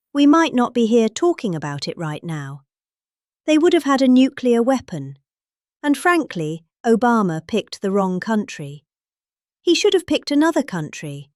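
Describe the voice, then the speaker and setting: A middle-aged British woman reads aloud with pompous, deadpan gravity. Her pitch swoops up and down, and she leans on pointed pauses and heavy emphasis. The sound is close and dry, with no room around it. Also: no room sound. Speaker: comic reader. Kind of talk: deadpan dramatic reading.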